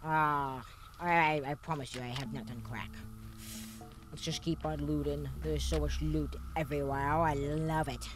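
A streamer with a voice prone to cracks, talking rambly through a horror game with many fillers. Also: female voice, deep voice, steady tone, normal speed, noisy background. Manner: rambling, filler-heavy, jumpy. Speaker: gaming streamer. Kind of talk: let's play commentary.

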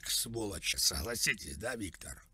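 A man's voice: lowish voice, animated, a little slow, very noisy. A man making a prank call, posing as an older man and talking in a plaintive, rambling, mock-naive way. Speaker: prank caller.

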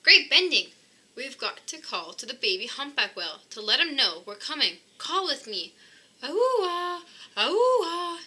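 An upbeat boy animal rescuer from a children's storybook , as a woman reads him. The voice is very bright and swings in pitch, calling out eagerly to young listeners with plenty of exclamation. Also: very animated, a little slow, full character voice. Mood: excited. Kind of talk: children's storybook read-aloud.

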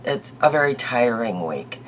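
A middle-aged woman vlogging her daily makeup in a small bedroom, chatty and homey, her voice hoarse from a cold. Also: extremely low pitch, very animated, a little slow, slight background noise, rough recording. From United States. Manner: chatty, homey, laughs easily, trails off. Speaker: beauty vlogger. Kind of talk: casual daily makeup chat to camera.

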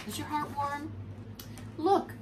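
A woman in her forties reading a storybook aloud to young children as a preschool teacher, expressive and explanatory, recorded indoors at home. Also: mid-range voice, moderate pace. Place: furnished room at home, indoors. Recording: clear background noise.

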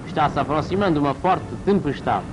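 A man's voice: fairly high pitch, animated, fairly quick, over music, rough recording. A man reading scripted 1940s wartime newsreel narration in a formal, declamatory announcer style, on an old film soundtrack.

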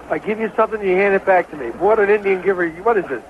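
A man's voice: sits high, racing. A man giving conversational, opinionated color commentary on a radio baseball broadcast, with a bantering manner. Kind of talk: conversational radio sports analysis. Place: ballpark broadcast booth. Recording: very noisy, phone-like 1970s radio broadcast recording.

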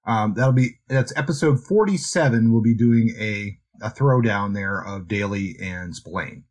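A man co-hosting a podcast, discussing books in a casual, measured and slightly hedging way. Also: on the low side. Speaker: podcast host.

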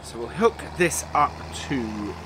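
A man's voice: mid-range voice, sing-song, slow pace. A man with a slightly nasal voice from a cold coming on, casually commentating a farming game and thinking aloud with many fillers. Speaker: let's play host. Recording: voice-over with clear game vehicle noise.

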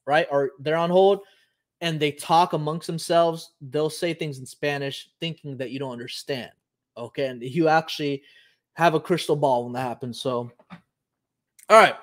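A man's voice: fairly high pitch, fairly even intonation, moderate pace. A man in his thirties from Puerto Rico, hosting a live show and explaining casually while thinking aloud with frequent ums. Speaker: host. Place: home office, indoors.

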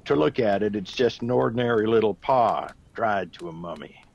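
An actor playing a gruff old soldier back from India, a rambling, emphatic storyteller fond of whiskey, in a radio drama. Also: male voice, fairly low pitch, expressive, normal speed, highs cut off. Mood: grave, ominous.

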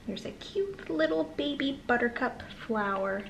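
A woman reading aloud to young children in a sing-song manner with gentle asides. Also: fairly high pitch, lively intonation, a little slow, creaky, lo-fi sound, slight background noise. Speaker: children's storytime host and reader. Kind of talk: read-aloud storytime for toddlers, addressing young children. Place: small living room, on a sofa, indoors.

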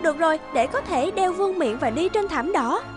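A woman's voice: very high voice, animated, fairly quick. A woman voicing a vain, scheming young maidservant who poses as a princess in a cartoon fairy tale, haughty and falsely sweet. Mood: spiteful. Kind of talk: animated fairy-tale character acting. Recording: music under the voice.

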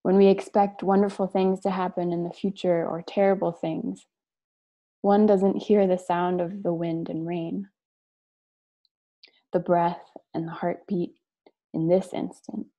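A woman leading a guided meditation, speaking and reading aloud in a gentle, measured way with long pauses between short phrases. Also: lowish voice, little pitch movement. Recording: dull lo-fi online call audio.

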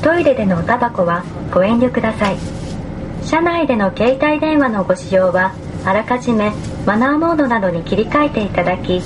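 A woman's prerecorded voice giving an automated onboard train announcement in a crisp, measured way. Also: on the high side, lively intonation. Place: inside a train. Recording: train noise behind a PA.

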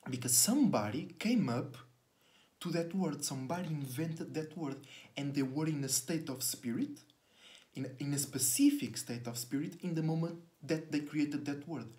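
A man's voice: fairly high pitch, normal speed, darkish tone. A man in his twenties, a native Portuguese speaker, musing earnestly on camera in a small bedroom, restarting words often. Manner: earnest and searching, many restarts, gestures widely with both hands. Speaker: astrology vlogger. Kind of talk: casual spiritual monologue to camera. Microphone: laptop webcam close up.

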